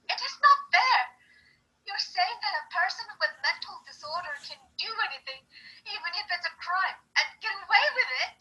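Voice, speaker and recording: A woman voicing a grieving mother wolf who pleads in a high, shaky, tearful voice. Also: animated, normal speed, bright, forceful tone, heavily acted, rough recording. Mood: indignant. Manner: high, shaky, crying pleas. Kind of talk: amateur reading of a courtroom tale.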